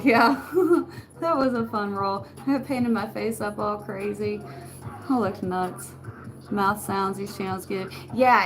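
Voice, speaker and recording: A soft-spoken woman with a rural Western American accent, chatting casually with her viewers and laughing often. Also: medium pitch, rough recording. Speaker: channel host. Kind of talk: casual live chat answering comments. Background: background music.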